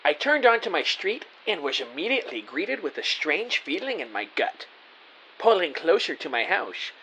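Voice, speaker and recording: An actor playing an excitable, anxious amateur spy who narrates his own story in an audio drama. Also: on the high side, a little hiss or hum, heavily acted, rough recording. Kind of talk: scripted first-person story narration. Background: music.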